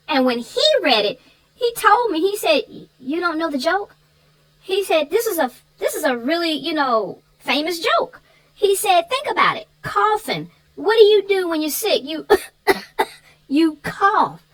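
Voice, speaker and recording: A woman speaks in a very high, bright voice with a lot of swing in her pitch. She chats casually as a book reviewer, bantering and telling things in an animated way. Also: rough recording.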